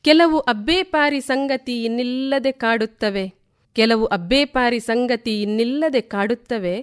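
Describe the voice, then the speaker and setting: A woman reciting poetry in a deliberate way, repeating lines for emphasis. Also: fairly high pitch, clean tone. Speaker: poet reciting on a radio programme.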